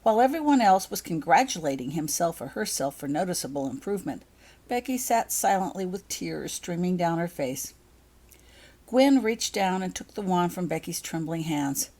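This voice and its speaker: A woman narrates a story in a somewhat low voice that moves widely up and down. She reads from a script like an audiobook, with expressive delivery. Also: moderate pace. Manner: expressive, acts out dialogue. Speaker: author reading her own fan fiction.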